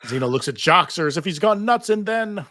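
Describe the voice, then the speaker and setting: A man's voice: high voice, lively intonation, brisk, bright, forceful tone, dry recording, clean studio sound. A man reading stage directions as narrator in a live table read.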